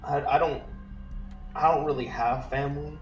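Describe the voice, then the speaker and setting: A man playing a streetwise, low-key loner informant in a tabletop game, speaking in an understated, hesitant way with a roomy echo. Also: steady tone, normal speed, soft background music, close to an everyday voice. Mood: guarded. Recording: roomy echo, slightly distant.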